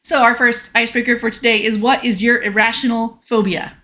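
A woman's voice: fairly high pitch, fairly even intonation, a little fast, pushed and bright. A woman co-hosting a casual podcast with dry, playful humour. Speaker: podcast co-host. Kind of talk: casual banter.

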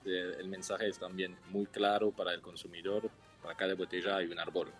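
A young Swiss man, a wine entrepreneur, answering interview questions in a careful, halting way as he searches for words in a language that is not his first. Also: deep voice, flat delivery, soft background music. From Switzerland.

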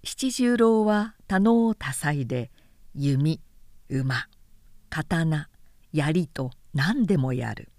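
A woman reading literary fiction aloud as an audiobook narrator, with measured and carefully enunciated delivery. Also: deep voice, animated, darkish tone, dry recording, clean studio sound.

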